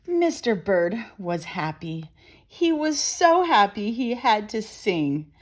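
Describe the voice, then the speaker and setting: A middle-aged woman reading a children's picture book aloud as storyteller, expressive and deliberate. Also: medium pitch, slight background noise. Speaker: storyteller and channel host.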